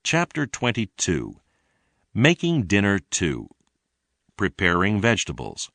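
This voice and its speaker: A man reading a scripted English lesson in a deliberate, clearly enunciated way. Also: on the low side, huge pitch swings, fairly bright tone, no room sound. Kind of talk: scripted read-along narration.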